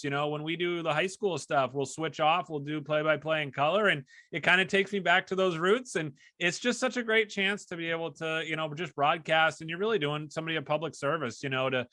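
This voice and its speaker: A man's voice: a little high, sharp tone, no room sound. A man hosting a casual interview, chatty and fond of telling anecdotes as a fellow sports broadcaster. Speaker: podcast host and hockey broadcaster.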